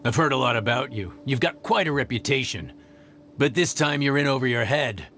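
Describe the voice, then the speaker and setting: A man's voice: medium pitch, lively intonation, brisk, a bit bright, soft background music. An actor playing a smug adult male mercenary leader in a video game, taunting and threatening in a mocking tone. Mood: menacing.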